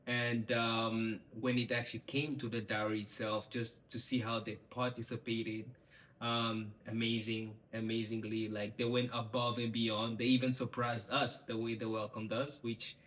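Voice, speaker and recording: A young man from Congo, co-hosting a couple's vlog and chatting in a relaxed, steady way with lots of fillers. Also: mid-range voice, normal speed, echoey room, a little hiss or hum, low-quality recording. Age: young adult. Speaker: vlog co-host. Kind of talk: casual chat.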